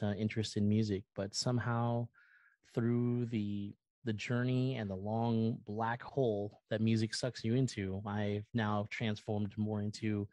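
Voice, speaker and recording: Mellow, low-voiced American man. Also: no room sound. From California, United States. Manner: effusive, earnest, rambling. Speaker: podcast guest, musician and content creator. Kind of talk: casual, personal interview talk.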